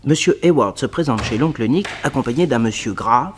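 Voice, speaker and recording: A man narrating a detective radio play, telling the story in a lively, colloquial way. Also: medium pitch, brisk, slight background noise, lightly acted.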